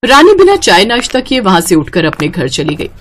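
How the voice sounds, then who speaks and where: A woman's voice: mid-range voice, wildly swooping pitch, fairly quick, a little hiss or hum. A woman narrating a scripted moral story as a storyteller.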